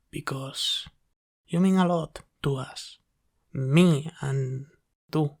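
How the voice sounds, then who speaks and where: A man playing a gentle, caring sleep paralysis demon who soothes his human in a soft-spoken, reassuring ASMR roleplay. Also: a little high, creaky, heavily acted. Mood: tender, reassuring.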